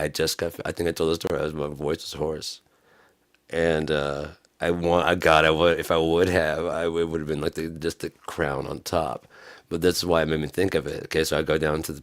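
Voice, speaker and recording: A man's voice: extremely low pitch, little pitch movement, fairly quick, no room sound, studio quality. A man tells a rambling, profane story, laughing often. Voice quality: raggedy voice from smoking and screaming. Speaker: vlogger telling a story. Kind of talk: casual storytelling monologue.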